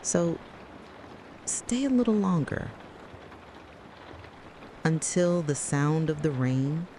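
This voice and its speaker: Slow, brooding narrator with a dark tone. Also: mid-range voice, expressive, slight background noise. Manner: slow, deliberate, brooding second-person address. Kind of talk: scripted dramatic soliloquy, midnight ASMR-like narration.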